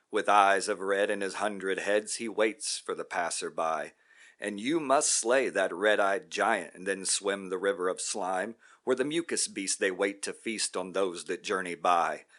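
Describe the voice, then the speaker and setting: Man doing a low, hushed guru. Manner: calm, low and hushed, drawn out. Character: serene godlike old male guru. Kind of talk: poetry reading.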